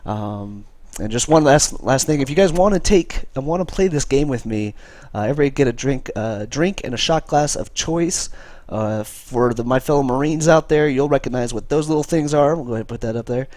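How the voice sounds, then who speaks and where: A man's voice: medium pitch, expressive, a little fast, a little mellow, dry recording. A man with a cold, hosting a live game stream and chatting casually with many fillers. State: has a cold. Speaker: esports caster and stream host.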